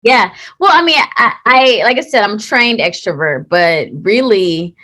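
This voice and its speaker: A woman in her late twenties from Texas, an actor being interviewed, talking in a chatty, lively way and laughing often. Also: medium pitch, unhurried. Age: late 20s. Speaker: actor guest. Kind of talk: casual interview chat.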